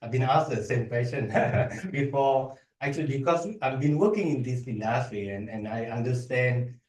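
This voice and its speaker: A man with a Vietnamese accent is presenting at an academic event. His delivery is halting, with restarts and repeated words. He sounds set back from the microphone in a reverberant room. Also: medium pitch, darkish tone. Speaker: academic researcher, guest speaker. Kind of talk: conference talk and audience Q&A. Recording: echoey room, distant microphone.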